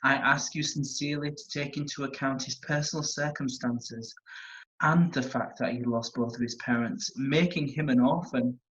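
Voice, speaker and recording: A man's voice: mid-range voice, lightly acted. A man playing an earnest defence lawyer in a courtroom play, formal, persuasive and pleading. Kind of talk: courtroom stage play acting. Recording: dull, lo-fi sound.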